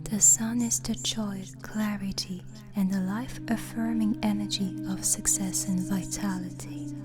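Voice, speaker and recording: A gentle witch, acted by a woman, whispers in a breathy, soothing voice for an ASMR roleplay. The delivery is slow and deliberate, welcoming a guest with care. Music plays clearly behind the whisper. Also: mid-range voice, fairly even intonation, lightly acted. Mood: calm, instructive. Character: gentle, hospitable witch hosting a guest overnight.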